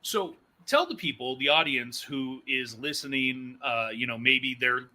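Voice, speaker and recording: A man hosting a casual interview show in a chatty, animated manner. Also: medium pitch, unhurried. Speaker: podcast host and executive producer.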